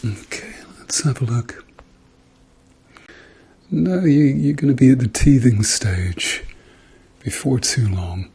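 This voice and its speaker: Gravelly man in a soft ASMR role-play. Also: medium pitch, takes it slowly, long pauses, a little hiss or hum. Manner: reassuring, murmured repeated encouragement. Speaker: ASMR role-play performer playing a doctor. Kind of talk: ASMR medical role-play, softly spoken.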